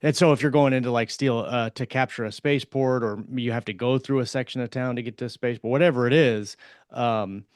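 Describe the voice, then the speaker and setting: A man talking casually as a podcast co-host, in a rambling, halting way that circles around his point. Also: mid-range voice, steady tone, dry recording, clean studio sound. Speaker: podcast co-host and fiction writer. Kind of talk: casual discussion.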